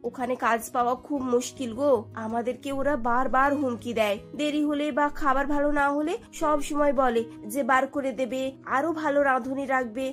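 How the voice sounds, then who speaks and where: A woman plays a poor young mother working as a housemaid in a cartoon story. Her voice sits high, anxious and apologetic. She speaks deferentially, with soft music behind her. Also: fairly even intonation, moderate pace, lightly acted. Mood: worried.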